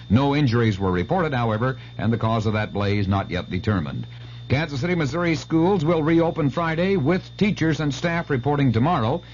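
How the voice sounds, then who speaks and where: A man's voice: mid-range voice, a little mellow. An American man reading scripted local news as a radio newscaster. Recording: dull noisy 1970s AM radio broadcast.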